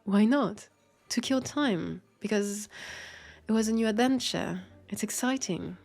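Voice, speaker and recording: A woman with a French accent playing a world-weary, guarded immortal vampire detective in an audio drama, speaking haltingly and confidingly with a creaky, breathy voice that slips toward whispers. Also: medium pitch, darkish tone, faint music behind. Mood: nostalgic, wistful. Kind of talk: intimate roleplay audio drama monologue.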